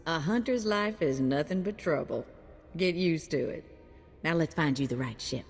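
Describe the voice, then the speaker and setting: An actress playing a brash, streetwise bounty hunter's handler in a video game, folksy and cheeky. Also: female voice, low-pitched, expressive, moderate pace, gravelly, breathy edge, soft background music, full character voice. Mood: brisk, wry. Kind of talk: scripted game character acting. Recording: long hall reverb.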